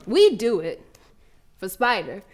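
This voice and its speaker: A woman's voice: mid-range voice, very animated, slow pace. A woman playing a fierce, cocky preteen American girl runner in a live theatrical monologue, telling her story in brash, slangy street talk. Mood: defiant, earnest. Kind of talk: first-person theatrical monologue performed live.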